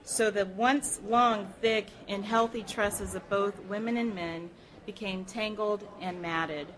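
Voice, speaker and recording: A woman of medium pitch reads nonfiction aloud to a formal standard. Her delivery is earnest and measured, as at a public reading of history. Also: fairly even intonation, a little hiss or hum, rough recording. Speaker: reader at a public library program.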